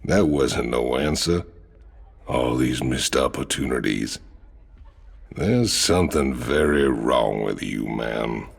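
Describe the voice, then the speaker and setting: A gruff, gravelly marine bruiser. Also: male voice, takes it slowly, exaggerated voice. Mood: taunting. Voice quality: very deep gravelly drawl. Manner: crude, mocking. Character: brash burly male space marine, crude and taunting.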